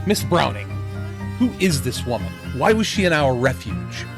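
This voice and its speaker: A man acting in an audio drama as a stern gentleman enforcer, formal and commanding, with cold menace. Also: a little high, very animated, moderate pace, creaky, over music.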